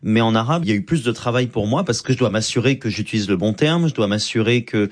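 A stand-up comedian, a man, speaks in a lively, flamboyant manner full of quick asides. His voice sits in a middle register. He sounds like an interview guest at ease in conversation. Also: mid-range voice.

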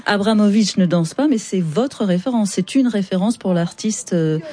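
A woman radio host presenting and interviewing with a composed, clear delivery. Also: mid-range voice, measured pace, mellow.

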